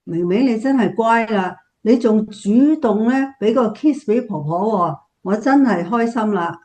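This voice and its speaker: A woman playing an affectionate, reflective grandmother in a Cantonese radio drama, speaking gently. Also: a little high, expressive, unhurried.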